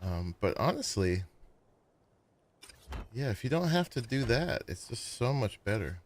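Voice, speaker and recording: A man casually chatting to viewers as he plays and builds in a survival game, with a sleepy voice and a relaxed, rambling manner. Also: medium pitch, a little slow, a little hiss or hum. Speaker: gaming streamer. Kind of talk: casual gameplay commentary.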